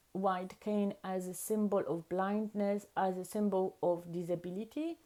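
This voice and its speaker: A woman whose first language is Polish, with the accent to match, reflects to camera in an unhurried, deliberate way. Her voice sits in a mid range with a warm, mellow color. She stresses key ideas by repeating them. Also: mid-range voice. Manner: slow, earnest, emphatic with repeated words. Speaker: vlogger.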